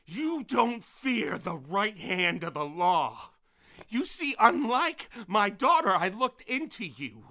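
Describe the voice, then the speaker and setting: A man acting in an audio drama as a menacing, grieving middle-aged father protecting his daughter, speaking with halting intensity. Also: high voice, very animated, sharp tone, exaggerated voice. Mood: furious, threatening. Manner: intense, halting, with repeated words.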